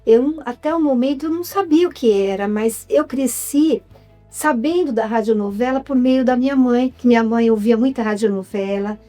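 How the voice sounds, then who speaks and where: A woman's voice: on the high side, normal speed, dark tone, faint music behind. A woman with a Brazilian accent telling stories conversationally as an interview guest, reflective and often checking in with the listener. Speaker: interview guest, writer and actress. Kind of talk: casual conversational storytelling.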